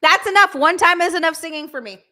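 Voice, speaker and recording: A woman from Hawaii co-hosting a casual livestream, blunt and deadpan-sarcastic. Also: very high-pitched, quick pace. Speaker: co-host.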